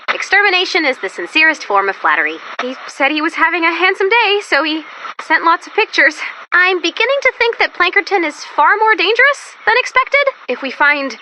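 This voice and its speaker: A woman's voice: high-pitched, pushed and bright, a little hiss or hum, heavily acted, low-quality recording. A woman voicing an upbeat, quirky female robot assistant who guides the commander in a video game, with a chatty, theatrical, comic manner. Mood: concerned, focused.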